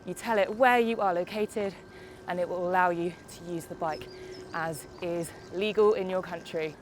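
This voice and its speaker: A woman's voice: lowish voice, fairly even intonation, normal speed, noisy background. A young woman with a congested summer cold, reviewing an e-bike in a chatty, upbeat way while riding outdoors. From United Kingdom. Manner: chatty, upbeat, explains as she rides. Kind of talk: casual video review while cycling. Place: outdoors on a bike on roads and hills.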